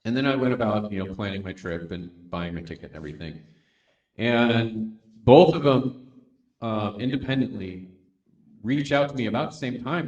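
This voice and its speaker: A man from San Diego talks through his experiences on a discussion panel. He speaks in a relaxed, unhurried way, full of ums and uhs. His mid-pitched voice echoes in a roomy lecture hall. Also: highs cut off. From San Diego, United States. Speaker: documentary producer. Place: university event room.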